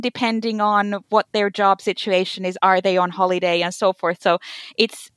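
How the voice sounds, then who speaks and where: A woman's voice: medium pitch, fairly bright tone, no echo. Hesitant woman, searching for words. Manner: hesitant, many fillers and restarts, searching for words. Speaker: conference organizer, guest. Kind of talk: conversational interview answers.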